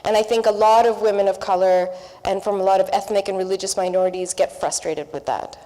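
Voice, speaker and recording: A woman's voice: mid-range voice, fairly even intonation, fairly quick, warm tone. A Pakistan-born woman, English not her first language, speaking earnestly at a seminar panel and listing her points in repeated phrases. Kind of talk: panel discussion remarks. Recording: reverberant hall.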